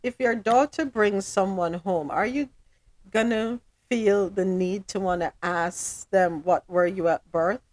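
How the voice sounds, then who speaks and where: A woman's voice: mid-range voice, a little slow. A woman hosting a casual podcast, curious and full of hypothetical questions. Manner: inquisitive, poses hypothetical questions. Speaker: podcast host.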